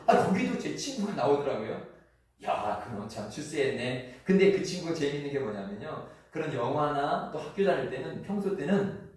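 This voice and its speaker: Pastor, man, Chungcheong accent, earnest chatty preaching with slow sentence endings, distant mic, heavy hall echo. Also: fairly high pitch. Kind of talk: sermon. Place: church sanctuary. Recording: echoey church hall from far off.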